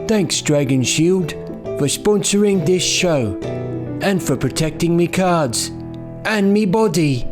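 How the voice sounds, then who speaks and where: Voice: medium pitch, animated, takes it slowly. A performer voicing a folksy creature mascot reading a sponsor message in character. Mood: cheery. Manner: folksy, says "me" for "my". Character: gruff dragon-shielded creature, sponsor mascot. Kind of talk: scripted ad read in character. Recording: music bed under voice.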